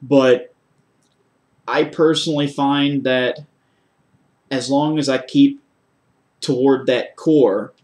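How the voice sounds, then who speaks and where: A man with a mid-pitched voice speaks as a candidate in a formal job interview. He is measured and methodical, laying out his answers step by step. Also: fairly even intonation, darkish tone. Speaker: job candidate for a security officer post.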